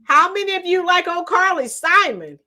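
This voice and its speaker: A middle-aged woman with a hoarse, tired voice chatting casually with livestream viewers, reading out comments and singing bits of songs. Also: very high-pitched, animated, normal speed, pushed and bright. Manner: chatty, reads out comments and sings along to songs. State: hoarse, losing her voice. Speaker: livestream host.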